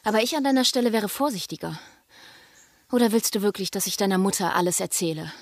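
A woman's voice: mid-range voice, expressive, quick pace, no room sound, studio quality. An actress playing a bossy, taunting girlfriend in an audio drama, with a commanding, needling manner. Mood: quietly threatening. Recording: noticeable background noise.

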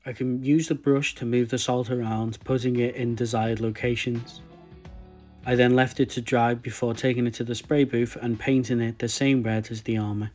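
A young man with a sore throat, quietly talking through how he customizes an action figure. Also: medium pitch, flat delivery, moderate pace, soft background music. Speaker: toy customizer host. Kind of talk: casual tutorial narration.

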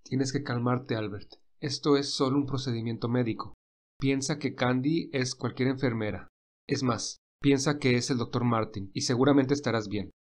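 A man's voice: mid-range voice, normal speed, close to an everyday voice. A man voicing a kind, considerate young man who hides his nerves, in an audio story. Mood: nervous.

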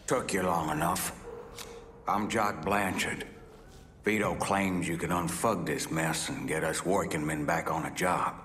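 An actor playing a gruff, bitter working-class dock union man in a video game, blunt and profane. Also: sits low, steady tone, measured pace, a bit bright, slight background noise. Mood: grumbling, bitter.

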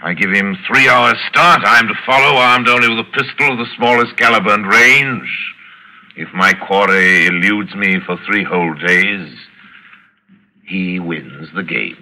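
An actor playing a cultured, sinister general who hunts men, gloating with mock courtesy in theatrical old radio style. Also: male voice, fairly low pitch, a little slow, bright, forceful tone, a little hiss or hum, heavily acted, poor audio. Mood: smug, suave. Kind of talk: 1940s radio acting. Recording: old radio drama recording.

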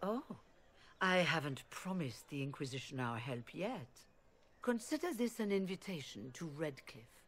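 An actress playing a composed, proud elf mage leader in a video game, speaking formally and with measure. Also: female voice, low voice, big pitch swings, measured pace, heavily acted. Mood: diplomatic.

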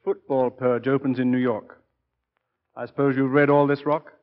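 Actor as a serious colleague, muffled vintage radio. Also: male voice, medium pitch, flat delivery, moderate pace, lightly acted. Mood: serious, urgent. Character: brisk, serious colleague of a football coach. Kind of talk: 1940s radio acting. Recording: muffled 1940s radio broadcast recording.

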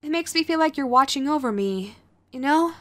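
A woman playing a sweet, clingy yandere girlfriend in an audio roleplay, nervous and halting, gushing and apologetic as she tries to reform. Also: sits high, expressive, no room sound. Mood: proud, affectionate. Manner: halting, gushing, apologetic, swinging between eager and sheepish. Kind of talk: romantic audio roleplay, acted to the listener.

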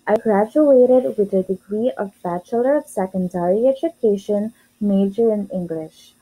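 Mellow-voiced woman, scripted tutor introduction. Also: mid-range voice, slightly rough, rough recording. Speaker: online English tutor.

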